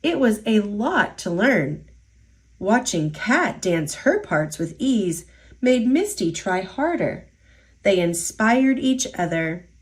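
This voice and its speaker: A woman reading a children's picture book aloud, slowly and expressively, in a sing-song storytelling manner. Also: mid-range voice, sing-song. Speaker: storytime reader.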